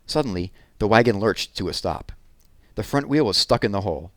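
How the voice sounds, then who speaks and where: Male children's read-aloud host, lower register, expressive and deliberate, conversational asides, dry close sound. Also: no echo. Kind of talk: storytelling read-aloud of poems and picture books.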